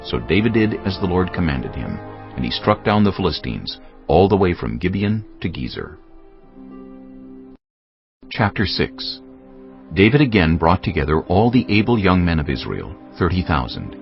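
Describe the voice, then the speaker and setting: A man narrating scripture in a solemn, measured storytelling style, with a gravelly voice. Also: deep voice, sing-song. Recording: dull, lo-fi audio. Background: faint music.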